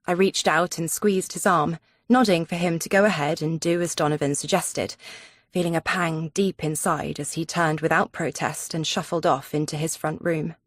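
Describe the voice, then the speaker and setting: A woman's voice: sits low, fairly even intonation, moderate pace, no room sound, studio quality. A woman narrating a suspense audiobook in the first person.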